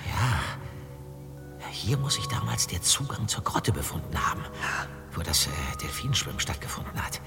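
Low-voiced bookish young sleuth in reverberant hall. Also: male voice, sing-song, gravelly. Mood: studious, halting. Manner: halting, thinking aloud, agreeable. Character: hesitant, bookish teenage boy detective sidekick. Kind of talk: German audio drama acting. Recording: long hall reverb, music behind.

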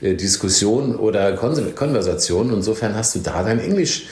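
A man with a low voice chats casually and cracks teasing jokes as he gives advice. His voice echoes a little, as if the room is bare and roomy. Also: expressive, a little fast, darkish tone. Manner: chatty, jokey, teasing asides. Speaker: training captain, podcast co-host. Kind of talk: casual podcast conversation. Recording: roomy echo.

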